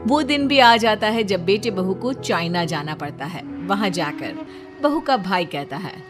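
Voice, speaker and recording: A woman's voice: mid-range voice, expressive, unhurried, music in the background. A woman narrating a scripted cartoon story as its storyteller.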